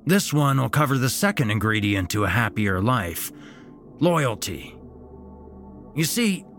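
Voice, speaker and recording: A man's voice: mid-range voice, fairly bright tone, pitched above the performer's own voice. A man voicing a sinister, falsely cheerful old grandfather with a folksy, chipper manner that turns menacing.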